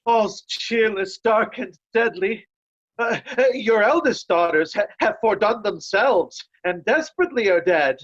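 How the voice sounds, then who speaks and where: A woman's voice: medium pitch, measured pace, highs cut off, natural voice. A woman playing a loyal, sorrowful nobleman and servant in a Shakespearean play reading. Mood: sorrowful, earnest.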